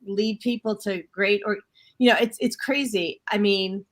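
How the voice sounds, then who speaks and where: A woman's voice: mid-range voice, steady tone, unhurried. A woman hosting a talk radio show, chatting casually with her guest and rambling with frequent restarts. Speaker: host.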